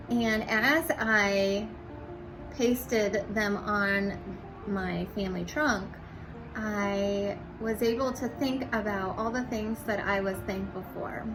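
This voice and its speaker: Slow-paced woman reading to children. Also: medium pitch, rough recording. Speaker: teacher reading aloud to children. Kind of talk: storybook read-aloud and casual chat to viewers.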